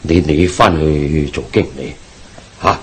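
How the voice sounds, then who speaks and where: A man's voice: very deep voice, slow pace, a little hiss or hum. An actor playing a frightened, guilt-ridden businessman uncle in a Hong Kong Cantonese radio drama, stammering and repeating himself nervously. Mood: anxious, eager. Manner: nervous stammering, anxious repetition.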